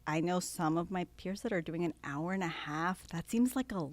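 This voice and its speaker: A woman's voice: on the low side, normal speed. A young woman from Venezuela who grew up in San Francisco, a law student chatting casually in an interview, laughing easily and using fillers. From Venezuela, raised in San Francisco.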